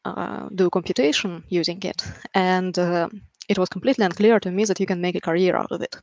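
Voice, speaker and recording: Low-pitched woman with a Ukrainian accent. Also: steady tone, brisk, creaky, a little mellow, no room sound, highs cut off. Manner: dry understated humour. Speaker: podcast guest, software engineer. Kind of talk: measured interview storytelling. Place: room at home, video call. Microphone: headset with boom mic.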